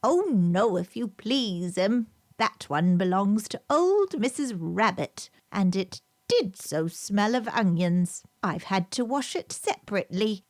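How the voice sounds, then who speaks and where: A woman plays a little hedgehog washerwoman in a children's storybook. She is obliging and fussy, chattering deferentially in old-fashioned country phrases with animated swings of pitch. The sound is close and dry. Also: takes it slowly, few pauses, dry recording. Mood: apologetic, polite. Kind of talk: storybook character reading.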